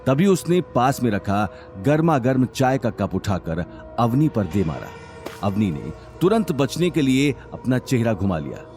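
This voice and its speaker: A man's voice: mid-range voice, big pitch swings, a little slow, a little mellow, soft background music. A man working as narrator, reading a scripted dramatic story.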